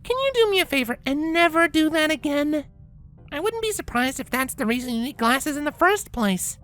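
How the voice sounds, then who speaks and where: A woman's voice: sits high, expressive, normal speed, a bit bright, faint music behind. A woman voicing a prim, bookish young pony princess in a fan fiction reading, precise and lecturing, patient but exasperated. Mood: uneasy, concerned. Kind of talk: character acting in a fan fiction audio reading.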